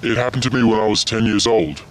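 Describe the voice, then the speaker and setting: A man who sounds like a disguised anonymous-interview voice, played by an actor, speaks deadpan and matter-of-fact. His low voice still swoops around in pitch, heard from a distance with faint noise. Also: a little fast, a crowd in the background, close to an everyday voice.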